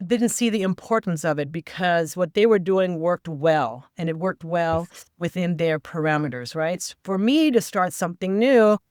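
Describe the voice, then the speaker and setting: A woman whose first language is not English, guesting on a podcast and telling her story in a lively way with quoted asides. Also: lowish voice, moderate pace, no room sound, clean studio sound. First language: non-English first language. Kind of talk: conversational interview.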